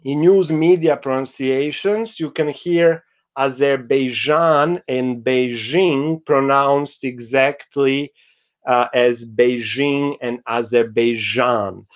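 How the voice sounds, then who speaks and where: A middle-aged man giving a university lecture over a video call, explaining slowly with many fillers. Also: mid-range voice, dull audio. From Croatia. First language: Croatian. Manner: slow, explanatory, with frequent fillers. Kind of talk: recorded online lecture. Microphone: video call webcam.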